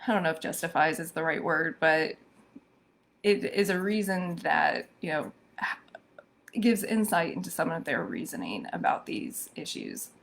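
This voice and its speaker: A woman hosting a live stream talks slowly in a lowish, gravelly voice that sounds hoarse today. She works her way through the news thoughtfully, with many ums and restarts. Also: animated, fairly bright tone. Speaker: social media show host. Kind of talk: live-streamed commentary on social media news.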